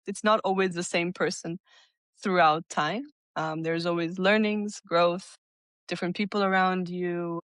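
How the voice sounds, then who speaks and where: A woman in her twenties, from Italy and the United States, recounts her life story as a podcast guest. Her voice sits fairly low for a woman, and she sounds open and reflective, recorded dry and close. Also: a bit bright, no room sound. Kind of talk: conversational interview.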